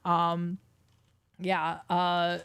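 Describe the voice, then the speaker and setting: A young woman casting an esports livestream solo, hoarse from losing her voice, chatty and rambling as she reads out scores and viewer chat. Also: lowish voice, monotone, extremely slow, fairly bright tone. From Wisconsin. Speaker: esports caster.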